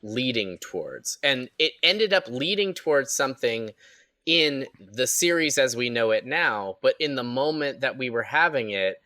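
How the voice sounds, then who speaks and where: A man's voice: mid-range voice, animated, moderate pace, sharp tone, no room sound, studio quality. A man who works as an audio editor, chatting casually and emphatically on a panel, often repeating phrases to make a point. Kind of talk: casual, animated panel chat.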